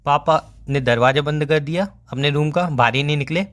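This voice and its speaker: A man's voice: on the high side, moderate pace, lightly acted. A young man playing a bantering, evasive boyfriend who explains himself casually in a phone-call skit. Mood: explaining, storytelling. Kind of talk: casual scripted couple phone call in Hindi.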